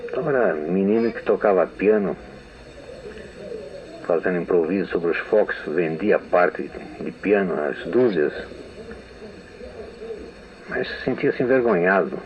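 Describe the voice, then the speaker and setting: A man's voice: sits low, expressive, measured pace, pauses often. An older man from Brazil with a Brazilian Portuguese accent, telling memories in a meandering radio interview and often restarting sentences. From São Paulo state, Brazil. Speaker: interviewed writer. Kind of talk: archival radio testimony. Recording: narrow, phone-like old radio archive.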